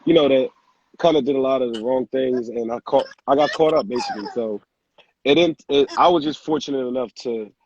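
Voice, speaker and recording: Relaxed young man on a phone stream. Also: medium pitch, a little fast, slight background noise. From Senegal. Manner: relaxed, conversational, plain-spoken. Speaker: guest speaker, entrepreneur. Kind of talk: casual live-stream interview. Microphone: phone camera on a live video stream. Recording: dull lo-fi phone audio.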